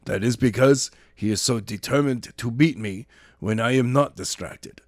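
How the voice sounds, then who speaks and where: A man voicing a calm, stern male martial arts teacher with a measured, matter-of-fact way of speaking, in a storybook reading. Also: mid-range voice, no room sound, studio quality, lightly acted. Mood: calm, instructive.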